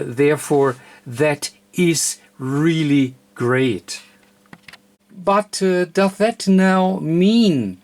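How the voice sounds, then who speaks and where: A man who is not a native English speaker gives an informal technical tutorial, halting often with frequent uhs. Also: a little high. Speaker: tutorial presenter, radio hobbyist.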